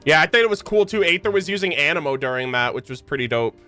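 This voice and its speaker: A man's voice: on the high side, animated, quick pace, sharp tone. A man giving casual, slangy live game-stream commentary and chatting to his viewers. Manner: slangy, informal. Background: game music.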